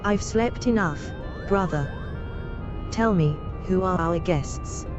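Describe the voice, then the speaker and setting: Frail mystical noble sister in a fantasy game, really slow and solemn, slightly muffled. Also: female voice, on the low side. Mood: weary, curious. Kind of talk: fantasy video game voice acting. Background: loud game music.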